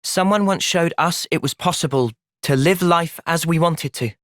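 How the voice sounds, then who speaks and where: A young man playing a thoughtful, earnest young soldier in video game cutscenes, speaking in a gentle, reflective manner. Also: on the high side, moderate pace, no room sound, studio quality, lightly acted. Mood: calm, reflective.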